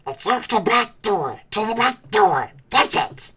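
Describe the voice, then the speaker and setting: A woman's voice: medium pitch, sing-song, unhurried, bright, forceful tone, pitched above the performer's own voice, heavily acted. A woman doing Gollum, a hissing wretched cave creature. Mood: brooding. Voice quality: hissing, breathy whisper. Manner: talks to himself, repeats words, swings between whimper and shriek. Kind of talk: audiobook character voice.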